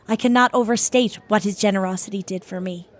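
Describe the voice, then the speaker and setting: A woman's voice: medium pitch, brisk, lightly acted. A woman playing a guarded, dignified lady in an audio drama, speaking in a composed, formal and reserved way. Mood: earnest. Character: guarded, curt, dignified divorced working woman.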